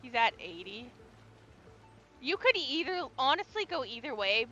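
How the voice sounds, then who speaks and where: A woman's voice: high-pitched, sing-song, unhurried, pushed and bright, dull audio, soft background music. A young adult woman streaming a game, chatting casually and rambling, swearing freely as she goes. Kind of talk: casual gaming chat.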